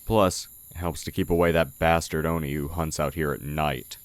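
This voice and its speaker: A man playing a sly oni who poses as a humble village blacksmith in an audio roleplay, talking in a measured, condescending way. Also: extremely low pitch, steady tone, no room sound. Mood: calm, knowing. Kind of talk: audio roleplay, intimate character acting. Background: night forest ambience with crickets.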